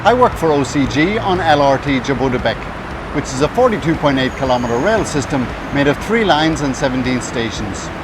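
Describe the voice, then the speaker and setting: Irish-born engineer outdoors, traffic behind. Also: male voice, medium pitch, animated, a little fast. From Ireland. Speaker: chief resident engineer. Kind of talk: brief self-introduction.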